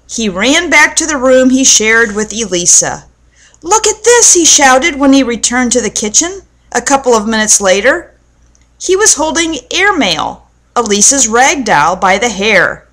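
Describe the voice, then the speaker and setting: A woman reads a children's book aloud as a storyteller. Her pace is very slow and deliberate, with a mid-range pitch, and she explains as she goes for young listeners. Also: very animated, a bit bright.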